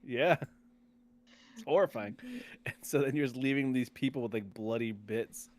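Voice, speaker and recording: A man's voice: medium pitch, moderate pace, darkish tone, slight background noise. A man in a casual game discussion, explaining things in a loose, rambling way. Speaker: podcast host.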